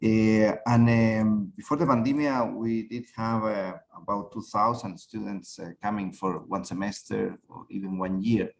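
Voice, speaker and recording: A middle-aged man from Chile speaking as a university rector on a panel, halting, with many fillers and repeated words. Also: fairly low pitch, fairly even intonation, creaky. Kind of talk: panel talk.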